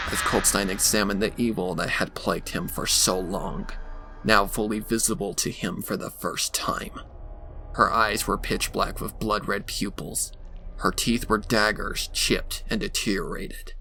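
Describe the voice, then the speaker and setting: A man's voice: lowish voice, creaky. A man narrating a scripted horror story in a dramatic storytelling style. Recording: faint background noise.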